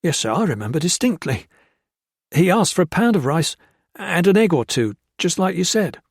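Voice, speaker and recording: A cringing young storekeeper with a high, shaky, pleading voice, as a man puts him on. He stammers and begs in a dry, close sound with no room around it. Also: very animated, moderate pace, vocal fry, slightly rough, no room sound, heavily acted. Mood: eager, stammering.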